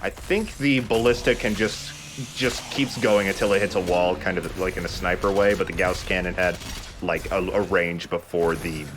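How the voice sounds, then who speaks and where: A man's voice: low voice, normal speed, background noise. A man giving casual let's play commentary while gaming.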